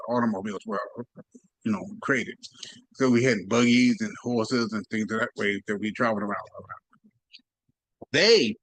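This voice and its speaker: A man's voice: mid-range voice, fairly bright tone, dry recording, low-quality recording. A man hosting a casual commentary show, talking in a rambling, slangy way and laughing often. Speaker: commentary channel host.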